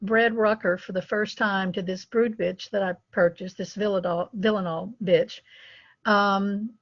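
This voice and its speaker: A woman's voice: medium pitch, fairly even intonation, measured pace, no room sound, dull audio. Chatty middle-aged woman telling stories. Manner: chatty, anecdotal, laughs between stories. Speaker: dog breeder, interview guest. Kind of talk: casual storytelling interview.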